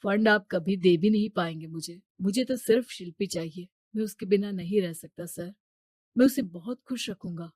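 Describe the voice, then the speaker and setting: A woman voicing an earnest young man of modest means, respectful and devoted, in an animated story. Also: medium pitch, normal speed, slightly rough, no room sound, studio quality, voice pushed down, lightly acted. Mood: pleading. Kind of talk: animated moral-story character acting.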